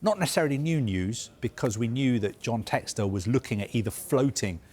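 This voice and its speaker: A man reporting football news, explaining a story in a measured, careful way with frequent fillers. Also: medium pitch, expressive, darkish tone, breathy edge. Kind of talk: live news discussion.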